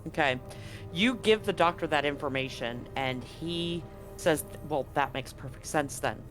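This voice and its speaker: A man narrating a live tabletop game as game master, conversational and often halting to correct himself. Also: fairly high pitch, fairly bright tone, poor audio. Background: music.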